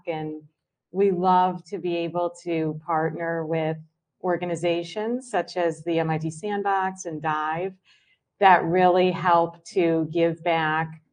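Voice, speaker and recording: A woman hosts a talk and speaks very slowly and deliberately as she introduces and questions her guest. Her voice sits a little low, and a faint hiss lies under it. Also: darkish tone. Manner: slow, measured, gracious. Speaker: event host, moderator. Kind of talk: hosting an interview on stage.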